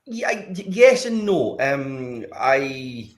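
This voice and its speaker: An older man with a broad Glaswegian accent answers questions over a video call. He speaks at an ordinary pitch, stopping and restarting often, with plenty of ums along the way. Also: big pitch swings, slow. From Scotland. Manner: hesitant, many fillers and restarts. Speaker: guest, former footballer. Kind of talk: casual interview.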